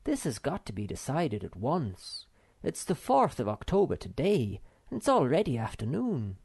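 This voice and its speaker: Snufkin, a woman doing a calm direct young wanderer. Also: a bass voice, normal speed, gravelly, slightly rough, exaggerated voice. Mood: firm. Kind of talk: audiobook character voice.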